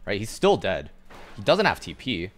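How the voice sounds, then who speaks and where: A man casually explaining his gameplay to viewers as he plays, relaxed and instructive. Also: on the low side, normal speed. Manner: instructive, relaxed, talks over his own play.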